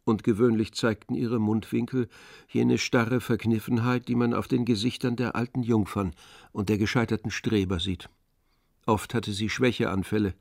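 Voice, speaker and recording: A man's voice: lowish voice, fairly even intonation, normal speed, creaky, a little mellow, no echo. A man reading scripted literary narration for a radio drama in a studio. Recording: dry studio, music underneath.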